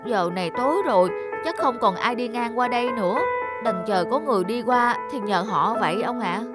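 A woman voicing a dutiful, anxious young male servant, deferential and earnest, in an animated folk tale. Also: fairly high pitch, a little fast, loud music behind the voice, close to an everyday voice. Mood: worried.

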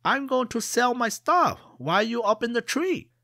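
A man's voice: sing-song, moderate pace, no room sound, clean studio sound, full character voice. A man voicing a gullible traveling merchant in a storybook tale, with broken English. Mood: shouting. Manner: broken English, high and shouting. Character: gullible middle-aged male traveling merchant, excitable.

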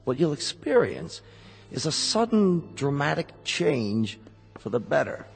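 An actor playing a smooth company salesman in a radio drama, with polished, reassuring patter. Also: on the high side, sing-song, a little slow, heavily acted. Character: smooth persuasive salesman of replacement android bodies. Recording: hall reverb with music behind.

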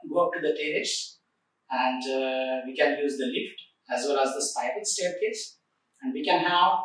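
A man in his forties, seated in an office, presenting a factory project in a formal way with many filler pauses. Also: fairly high pitch, bright, forceful tone. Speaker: project coordinator. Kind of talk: corporate site tour presentation. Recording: echoey room, recorded from a distance.

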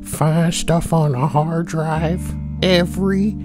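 A man's voice: on the high side, fairly even intonation, slow, over music. A man voicing a brash, foul-mouthed schoolboy, reading posts for comedy and adding slangy, mocking asides. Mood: mocking, amused. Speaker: host reading forum posts. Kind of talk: comedic reading with crude commentary.

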